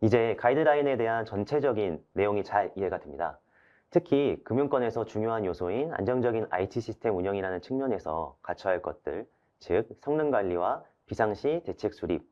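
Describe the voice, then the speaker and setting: Lower-voiced man moderating a webinar. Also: lo-fi sound. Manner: polite, formal, summarizing. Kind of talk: scripted moderated webinar talk.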